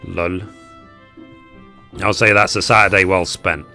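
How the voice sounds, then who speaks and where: A hoarse man talks casually while playing, hosting a gaming guide. His voice is low-pitched with a bright, forceful edge, and faint game music runs underneath. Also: level tone, unhurried. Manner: casual, chatty. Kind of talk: casual gameplay commentary.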